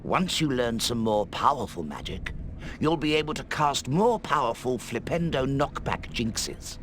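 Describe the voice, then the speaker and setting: An actor playing an eccentric, mysterious old British wizard wandmaker in a video game, speaking in courtly, musing, portentous phrases. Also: male voice, medium pitch, sing-song, measured pace, fairly bright tone, slight background noise. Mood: instructive. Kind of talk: theatrical video game voice acting. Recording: game music behind the voice. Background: music.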